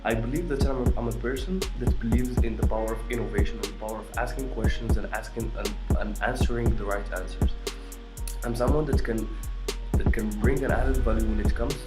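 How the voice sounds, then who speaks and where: A man in his twenties from Morocco giving an earnest, measured personal pitch, pausing between listed items. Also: steady tone. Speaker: candidate. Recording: voice over loud background music.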